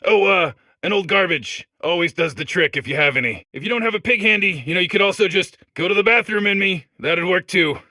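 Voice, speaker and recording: A man's voice: high-pitched, brisk, bright, forceful tone, no echo, studio quality. A man voicing a cheerful, chatty robot core full of sewage in a comedic game, eager and unashamed. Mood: chatty, apologetic. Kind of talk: comedic video game character monologue.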